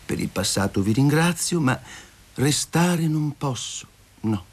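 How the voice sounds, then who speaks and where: A man voicing a weary, stubborn woman in a story, speaking quietly and deliberately as she leaves her lover. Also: a little high, expressive, voice pushed up. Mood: calm firmness.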